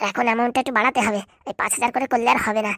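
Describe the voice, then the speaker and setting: Woman acting a cocky female small-time crook, high voice, rapid chatter, scheming, cartoon comedy. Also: fairly even intonation, fairly bright tone, dry recording, clean studio sound. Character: scheming elder-sister swindler collecting money from villagers.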